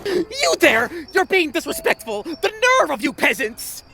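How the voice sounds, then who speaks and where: A woman's voice: fairly high pitch, sing-song, moderate pace, music in the background. A woman voicing a haughty, petulant young crown prince in an anime fan dub, indignant and whiny.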